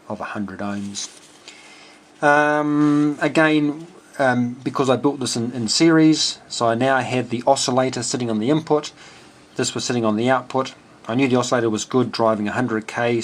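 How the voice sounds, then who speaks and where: A man with a fairly low voice talks through a technical build in an informal, methodical way. He thinks aloud in a matter-of-fact manner. There is some noticeable background noise in the recording. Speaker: amateur radio builder explaining his circuit.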